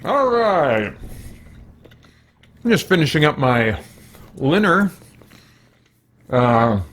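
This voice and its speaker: A man's voice: medium pitch, fairly bright tone. An American man chatting casually as a livestream host, thinking aloud in a rambling, self-correcting way. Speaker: live streamer, gaming host.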